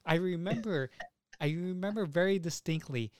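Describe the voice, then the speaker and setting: Young male podcast host, smooth clear voice, close dry sound, emphatic, sweary casual chat. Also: sits high, normal speed, darkish tone, dry recording. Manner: profane, emphatic, repeats himself.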